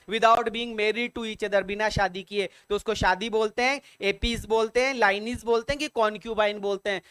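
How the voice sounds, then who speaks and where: A man teaching an online exam-prep English vocabulary lesson in Hindi. He repeats points over and over, spells words out letter by letter, and reads the English prompts slowly and deliberately. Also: high-pitched, pushed and bright, clear, resonant tone, no echo. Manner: addresses viewers as sir and dear children.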